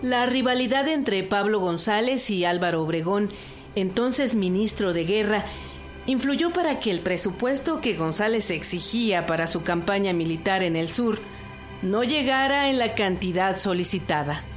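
A woman's voice: mid-range voice, expressive, moderate pace, faint music behind. A woman giving scripted historical documentary narration.

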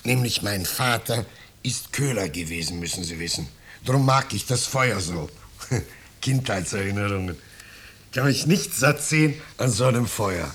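Smarmy drifter, lowish voice, fake humble but kinda menacing, old noisy radio drama. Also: male voice, expressive, a bit bright. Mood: dreamy, relishing. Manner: unctuous, wheedling, deliberate, falsely modest. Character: ingratiating vagrant stranger, slyly menacing, mock-humble. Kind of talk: 1950s German radio play acting.

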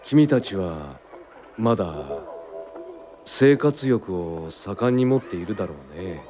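A man's voice: deep voice, expressive, measured pace, dark tone. An actor playing a weary, introspective writer in his thirties in radio drama dialogue, with conversational inflection. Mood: musing.

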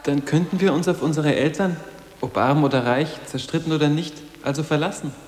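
An actor playing a morose, sarcastic teenage boarding-school boy in a literary radio drama, speaking in deadpan statements. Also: fairly high pitch, a little fast, natural voice. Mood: morose, defiant. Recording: echoing hall with music behind.

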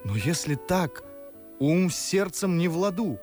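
An actor playing a witty, talkative, mocking young gentleman in an ardent, ironic verse radio drama. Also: high voice, lively intonation, a little slow, soft background music. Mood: ardent, pleading. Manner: ardent, ironic, theatrical declamation. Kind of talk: Russian classical verse radio drama acting.

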